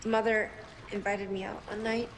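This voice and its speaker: An actress in a series clip, speaking quiet, confiding dramatic dialogue. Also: female voice, medium pitch, little pitch movement, normal speed, background noise, natural voice. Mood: wistful.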